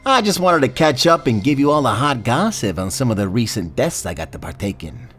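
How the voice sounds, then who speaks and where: A man's voice: medium pitch, sing-song, fairly quick, fairly bright tone, husky. A man playing a cocky, teasing Grim Reaper in a fantasy audio roleplay, talking like a smug, slangy wise guy. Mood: cocky, jovial.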